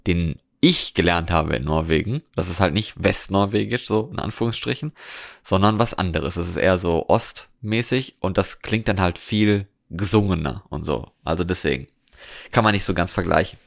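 A man's voice: low voice, moderate pace, gravelly, no room sound, studio quality. A man chatting casually as a podcast co-host, musing in a colloquial way. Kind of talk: casual chat.

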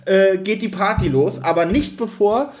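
A man chatting casually as a podcast co-host, in animated, self-deprecating banter. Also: sits high, normal speed. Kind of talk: casual episode discussion.

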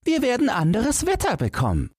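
A man voicing a gloomy, know-it-all old watchdog with a hoarse voice in a fairy tale. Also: very high-pitched, wildly swooping pitch, brisk, darkish tone, dry recording, clean studio sound, voice pushed up, full character voice.

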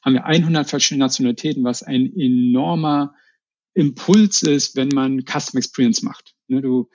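A man's voice: medium pitch, normal speed, darkish tone, lo-fi sound, slight background noise. A man from the Berlin area answering interview questions in a casual, informal way, often repeating words. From Berlin area, Germany. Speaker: interview guest, customer experience manager.

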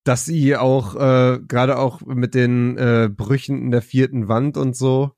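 A man's voice: medium pitch, flat delivery, measured pace, darkish tone, no room sound, clean studio sound. A man co-hosting a podcast in casual chat, giving frank opinions with hesitant fillers. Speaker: podcast co-host.

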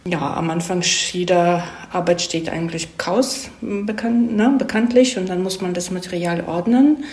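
Female guest, lower pitch, casual explanatory talk, hesitant, thoughtful, self-correcting, strong room echo. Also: normal speed, dark tone. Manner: thoughtful, hesitant, self-correcting, seeks agreement with tag questions. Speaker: interview guest, screenwriter. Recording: roomy echo.

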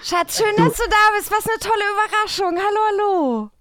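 A woman co-hosting a podcast in casual talk, with a playful, effusive, animated manner. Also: extremely high pitch, brisk, a loud crowd. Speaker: podcast co-host.

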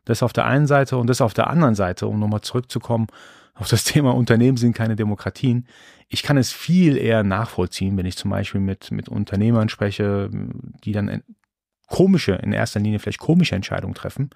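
Gravelly man chatting casually. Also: fairly low pitch, fairly quick, darkish tone, dry recording, clean studio sound. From Iran. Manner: chatty, rambling with restarts and fillers. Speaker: podcast host. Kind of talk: casual solo podcast monologue.

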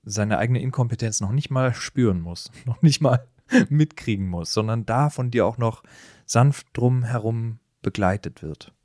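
A man talks as a podcast co-host, with a mid-pitched voice in a dry, close recording. He is conversational and analytical, building his case point by point in easy back-and-forth banter. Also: normal speed, a little mellow, no room sound.

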